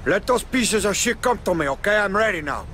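A man's voice: high-pitched, animated, fairly quick, sharp tone, a little hiss or hum, heavily acted. A man playing a brash, menacing drug kingpin with a Cuban accent and a cocky, profane manner in a video game. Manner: cocky, profane, tough-talking. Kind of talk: video game cutscene acting. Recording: game music behind the voice.

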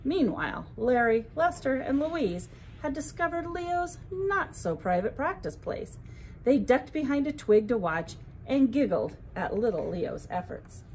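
A woman's voice: sits high, big pitch swings, a little slow, a little mellow, slight background noise, poor audio. A woman narrating a children's bedtime story expressively, as a librarian would at storytime.

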